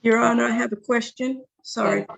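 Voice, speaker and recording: A woman's voice: on the high side, steady tone, moderate pace, creaky, low-quality recording. A woman who is a party in a court case, speaking on a video call in a hesitant, apologetic and polite way.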